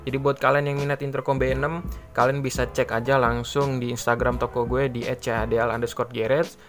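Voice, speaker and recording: A man talks in a mid-pitched voice over faint music. He explains a product off the cuff like an online seller, informal and rambling, often circling back to repeat his point. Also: level tone, fairly quick. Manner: informal, rambling, repeats himself with filler tags. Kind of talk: casual unscripted product explainer. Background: background music.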